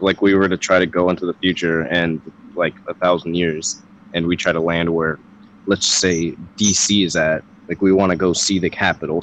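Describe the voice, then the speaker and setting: A man's voice: sits low, a little hiss or hum, poor audio. A man in his twenties in a casual debate chat, talking hesitantly and leaning on filler words. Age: 20s. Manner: hesitant, filler-heavy with many "like"s.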